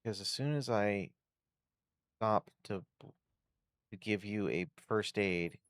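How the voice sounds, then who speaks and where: A man's voice: on the low side, takes it slowly, no room sound, studio quality. A man giving casual video game commentary as a streamer, thinking aloud through tactics in short bursts. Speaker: gameplay streamer.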